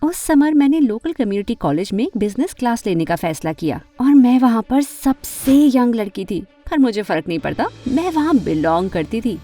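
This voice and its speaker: A woman playing an ambitious, fashion-loving teenage girl who narrates her own animated story, dramatic and expressive, with English words mixed in. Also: on the high side, moderate pace, few pauses, no room sound, soft background music. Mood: storytelling.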